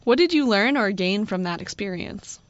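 A woman's voice: mid-range voice, lively intonation, moderate pace, noisy background. A woman co-hosting a podcast, asking questions in a plain conversational way. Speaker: podcast co-host. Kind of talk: casual podcast chat. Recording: dull lo-fi audio.

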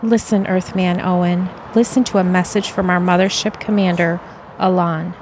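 A woman's voice: on the low side, steady tone, normal speed, a little mellow, slight background noise, natural voice. Stilted, serene alien woman envoy, played by an actress. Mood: serene, earnest. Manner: stilted, formal phrasing. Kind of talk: audio play acting.

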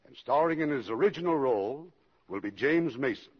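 Old time radio host guy, formal scripted intro, animated, husky edge, dull 1950s sound. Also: mid-range voice, a little fast. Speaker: producer and host introducing the show.

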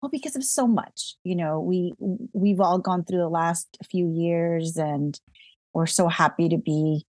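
A woman's voice: on the low side, measured pace, a little mellow, no echo. A middle-aged woman from Colombia, a guest in a casual interview, talking in a reflective, emotional way and laughing often.

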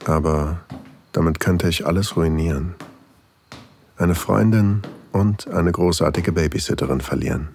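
An actor playing an older single father, tender and longing, in an intimate, softly confiding audio drama narration with a husky, gravelly voice. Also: sits low, a little slow, a little hiss or hum.

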